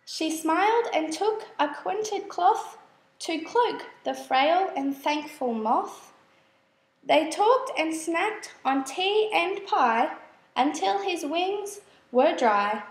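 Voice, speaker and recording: Woman, storybook narrator, very high pitch, slow measured pace, expressive scripted verse reading, roomy recording. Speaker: storybook reader.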